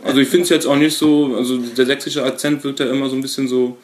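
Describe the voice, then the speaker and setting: A man's voice: medium pitch, flat delivery, fast talker. A man from Berlin with no regional accent, chatting casually on a fan podcast and reading text aloud. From Berlin, Germany. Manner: laid-back, chatty, reads aloud.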